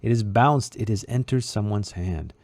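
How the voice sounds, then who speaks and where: A man's voice: on the low side, normal speed, a little mellow, no echo, natural voice. Man putting on a snappish, hissing little puppet villain. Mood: bitter, resentful. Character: snappish, resentful living puppet of a twisted wizard.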